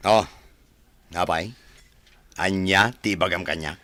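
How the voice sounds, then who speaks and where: An actor with an Ilocano accent playing a brash, scheming young village troublemaker in a radio drama, with a mocking, swaggering manner. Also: male voice, low voice, slow, sharp tone, no echo, full character voice. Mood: defiant.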